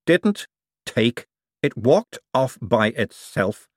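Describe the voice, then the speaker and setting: Casting: self-assured, stubborn storybook woman played by a male reader, explanatory, lively, raised pitch. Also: normal speed, no echo, clean studio sound. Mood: calm, coaxing. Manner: explanatory, insistent.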